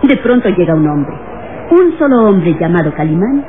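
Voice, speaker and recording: Sly taunting male sidekick, high swooping voice, sneering, vintage radio drama. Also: big pitch swings, moderate pace, heavy background noise. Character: mocking, sly male sidekick needling the villain. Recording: tinny old radio, background voices.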